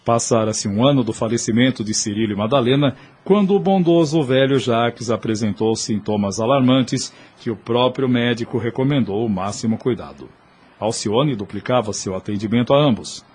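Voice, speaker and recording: A man with a mid-pitched, somewhat husky voice narrates a period audio drama. His delivery is solemn, literary and formal. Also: moderate pace, darkish tone.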